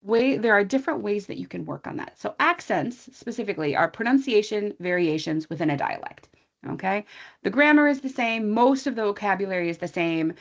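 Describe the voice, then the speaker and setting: An American woman teacher talks through a training session in a loose, chatty way, tossing in asides as she goes. Her voice sits at a middling pitch for a woman. The sound comes through a slightly dull, lo-fi online call. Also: animated, fairly quick, creaky. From USA. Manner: chatty and informal, thinking aloud with asides to the audience. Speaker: English teacher presenting a training webinar. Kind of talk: conversational online presentation.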